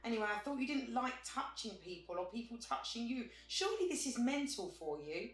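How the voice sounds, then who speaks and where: A woman's voice: a little high, sing-song, a little fast, soft background music. A woman playing a sardonic, exasperated but loyal friend in a naturalistic audio drama, with a dry manner. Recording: roomy, echoing sound.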